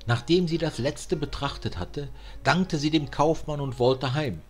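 A man reading a fairy tale as an audiobook narrator, in an expressive storytelling manner. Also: on the high side, unhurried, faint music behind. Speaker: audiobook reader.

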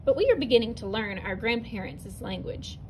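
A woman teacher reading a narrative poem aloud from a picture book, clear and expressive, with a few small restarts. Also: a little high, brisk, slight background noise, poor audio. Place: indoors, at a table over an open book.

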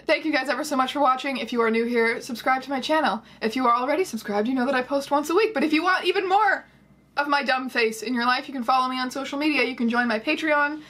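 High-voiced, slightly husky young woman, sarcastic. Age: 20s. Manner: sarcastic, animated storytelling with mocking quotes. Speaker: vlogger reviewing a book. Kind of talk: casual scripted-loose commentary to camera. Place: small bedroom, indoors.

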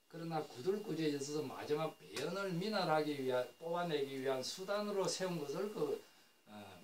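An older man giving a classroom lecture on traditional floor heating, rambling and correcting himself, with many fillers as he explains. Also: a little high. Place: lecture room. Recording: echoey room, recorded from a distance with faint noise.

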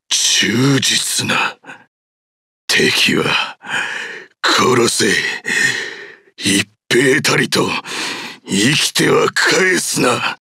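An actor voicing a grim, tormented dark knight general in a video game, commanding and merciless, with a husky, gravelly voice. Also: male voice, lowish voice, dry recording, studio quality, full character voice. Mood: cold, solemn. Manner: slow, menacing, commanding. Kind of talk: video game character voice lines.

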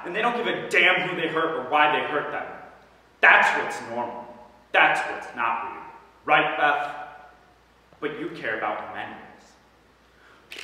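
Distant hall recording, teenage boy performing bitter male outsider, sarcastic stage monologue, bright voice, intense, scornful. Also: a little high, lively intonation, a little slow. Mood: mocking, bitter, then intense, pleading. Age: teens. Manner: intense, sarcastic, theatrical delivery. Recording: very echoey hall, far from mic.

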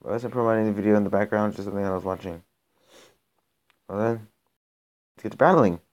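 Raspy-voiced young man narrating mobile gameplay. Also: lowish voice, steady tone, fast talker, a little mellow, dull audio. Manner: rambling, self-interrupting, gets exasperated during play. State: raspy from being sick. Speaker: gaming channel host. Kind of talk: casual gameplay commentary.

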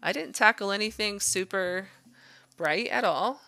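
A woman's voice: mid-range voice, expressive, unhurried, pushed and bright, no room sound. A woman art instructor narrating a drawing demonstration in an informal, encouraging, conversational way. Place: voice-over to a screen recording.

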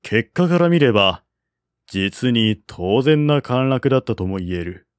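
Male narrator for historical fiction, formal, unhurried delivery, close dry sound. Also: mid-range voice, expressive, clear, resonant tone, dry recording. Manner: formal, measured reading. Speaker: audiobook narrator. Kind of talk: scripted literary narration of a historical novel.

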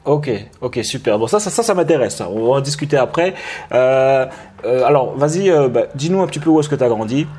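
A man in his thirties hosts a live online interview from a room at home. He talks loosely and warmly to his viewers, full of fillers and restarts. The sound comes through a webcam on a video call. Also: medium pitch, brisk, husky. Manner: relaxed, chatty, many fillers and restarts. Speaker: interview host. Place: indoor room with lamp and wall art.

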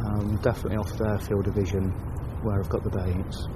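A man calmly talking through his fishing setup outdoors on a lake bank, sometimes dropping to a hush near the water. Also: fairly low pitch, a little fast, heavy background noise. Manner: explains calmly, drops to a near-whisper by the water. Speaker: angler presenting a fishing video. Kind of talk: casual on-the-bank fishing commentary.

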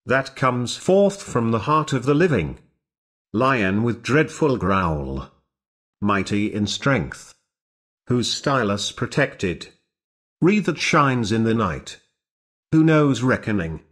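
Slow, husky man reciting solemnly. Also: mid-range voice, big pitch swings, a bit bright. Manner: declamatory, short separated phrases. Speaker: reader. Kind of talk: slow solemn recitation of ancient religious epithets.

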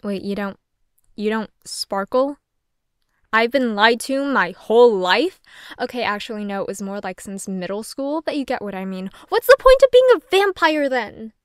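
A woman's voice: medium pitch, moderate pace, smooth, no room sound, studio quality, lightly acted. A young adult woman playing a sardonic, flustered, self-deprecating young woman who rambles and swears casually in an audio roleplay monologue. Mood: exasperated, joking.

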